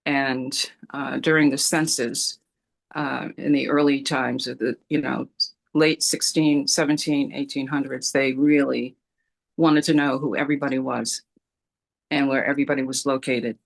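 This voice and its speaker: A woman from Rhode Island speaks up in a group video call, her voice gravelly with a touch of fry. She talks hesitantly, filling pauses with ums and restarting her sentences. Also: very deep voice, fairly even intonation. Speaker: community discussion participant. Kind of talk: casual discussion on a video call.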